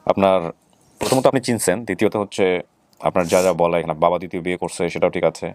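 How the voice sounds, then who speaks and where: A man's voice: low voice, fast talker, a little mellow, slight background noise. A man with a Bangladeshi accent hosting a talk show, questioning guests and addressing viewers. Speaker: host of a family reunion show.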